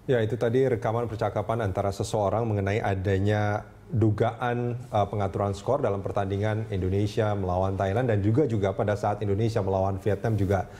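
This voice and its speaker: A man's voice: fairly low pitch, fairly even intonation, a little fast, dark tone. A man hosting a news talk show, presenting and putting questions in a conversational studio style.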